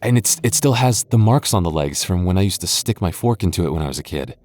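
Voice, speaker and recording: A man voicing an affectionate, teasing young goth boyfriend in an ASMR roleplay, talking softly and intimately. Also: low-pitched, expressive, fast talker. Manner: soft, intimate, playfully teasing. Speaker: voice actor.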